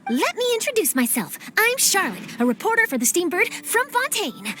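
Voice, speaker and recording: A woman's voice: very high voice, very animated, moderate pace, fairly bright tone, full character voice. A woman voicing an eager, nosy young female reporter in a game cutscene, chipper and persuasive. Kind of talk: video game cutscene dub.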